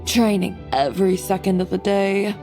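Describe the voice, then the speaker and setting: A woman playing a cool, composed, stylish young woman in an anime video game, measured and dryly teasing. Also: mid-range voice, warm tone, close to an everyday voice. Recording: faint music behind.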